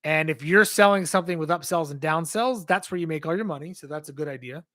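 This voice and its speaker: A man hosting a casual livestream Q&A, rambling as he answers viewer questions, his voice strained and hoarse from losing it. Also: a little high, fast, bright, forceful tone. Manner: rambling, conversational, reads out and answers chat questions.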